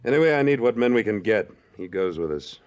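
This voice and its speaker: An actor playing a tough, terse, commanding trail boss in an old-time radio Western. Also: male voice, fairly low pitch, animated, a little fast, darkish tone, muffled recording, close to an everyday voice. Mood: brisk, commanding.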